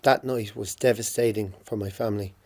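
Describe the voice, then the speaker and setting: A man in his forties with a Dublin accent reads a prepared poetic eulogy to his sister, solemn and halting in places. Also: on the low side, steady tone, mellow. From Ireland. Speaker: bereaved brother giving a tribute.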